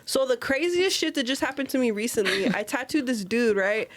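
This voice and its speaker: A woman tattoo artist, a podcast guest, telling stories in a fast, profane, casual way. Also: on the high side, expressive, slight background noise. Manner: fast, profane storytelling with lots of "like".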